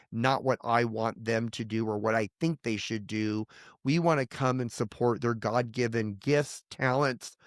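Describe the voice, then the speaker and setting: A man's voice: mid-range voice, no room sound, studio quality. A middle-aged man, a pastor, talking earnestly and emphatically about family and loss in a podcast conversation. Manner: earnest, emphatic, grows heated and indignant. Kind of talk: candid testimony in conversation.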